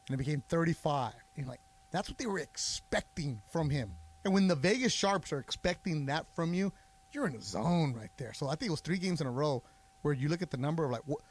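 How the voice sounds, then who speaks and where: A man co-hosting a sports talk radio show, explaining at length with a confident, conversational delivery. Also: a little high. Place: radio studio. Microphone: studio mic.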